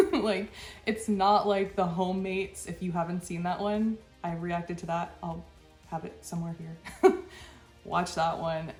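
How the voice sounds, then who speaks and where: A woman's voice: on the low side, darkish tone. A woman hosting a reaction commentary, sounding stuffy from a cold, talking frankly and with exasperation, with short laughs between her points. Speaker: channel host. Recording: echoey room with faint music.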